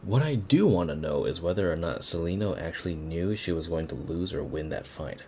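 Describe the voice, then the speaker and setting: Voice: sits low, expressive, brisk, dark tone, slight background noise. A game streamer giving casual, rambling commentary over a playthrough, swearing freely.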